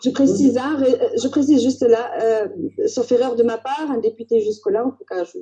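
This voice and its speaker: A woman's voice: a little high, normal speed, warm tone. A woman moderating a political debate, politely stepping in to clarify and pass the floor, on dull lo-fi audio. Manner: polite, interjecting to clarify and hand over.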